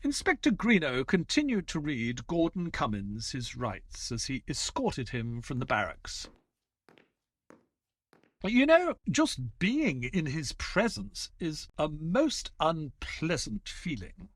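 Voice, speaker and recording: Earnest sidekick and storyteller played by a man, higher-pitched, very expressive, for a detective audio drama. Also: no echo, clean studio sound, heavily acted. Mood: troubled. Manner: measured storytelling, hesitant and flustered in dialogue. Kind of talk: audio play acting with scripted first-person narration.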